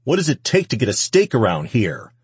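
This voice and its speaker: A bullying husband from an audiobook, acted out by a male reader, snarling and shouting with contempt. His voice is rough-edged and pushed well up in pitch and volume, with a close, dry sound. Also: wildly swooping pitch, a little fast, dry recording, full character voice. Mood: enraged, menacing.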